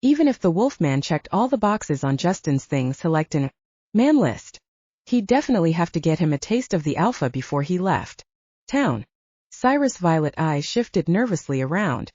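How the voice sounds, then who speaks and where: A woman's voice: fairly low pitch, big pitch swings, moderate pace, a little mellow, no echo, muffled recording. A woman reading scripted fiction as an audiobook narrator.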